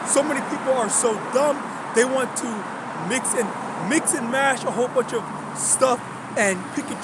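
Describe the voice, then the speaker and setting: A man's voice: extremely high pitch, very noisy. A young man speaking in a casual monologue. He rambles, restarts, and keeps asking "okay?". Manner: rambling, repeats words, many fillers and "okay?" checks. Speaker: religious vlogger.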